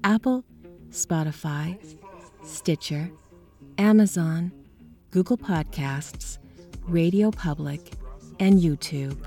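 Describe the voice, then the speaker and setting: A woman reads a scripted podcast outro in a low, mellow voice. Faint music accompanies the announcement. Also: slow, long pauses. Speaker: podcast cast member reading outro.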